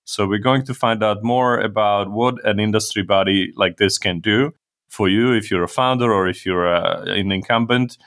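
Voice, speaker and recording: A man hosting a podcast interview, speaking with hesitant pauses and fillers. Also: on the low side, fairly even intonation, fairly bright tone. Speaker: podcast host.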